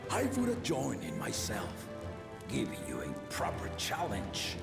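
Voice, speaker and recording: Slow-spoken, gravelly aging king. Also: mid-range voice, wildly swooping pitch, heavily acted. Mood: wry, commanding. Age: older. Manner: stately, deliberate, formal. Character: aging, regal father-king, amused, measured and commanding. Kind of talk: video game cutscene acting. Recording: roomy reverb under loud music.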